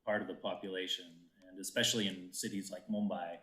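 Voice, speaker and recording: A man's voice: deep voice, fairly even intonation, fast talker, bright, forceful tone. A man with an American accent chatting casually as an interview guest, searching for his phrases as he talks. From United States. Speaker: actor and voiceover artist, interview guest. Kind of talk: casual chat on a live interview show. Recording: roomy, echoing indoor sound.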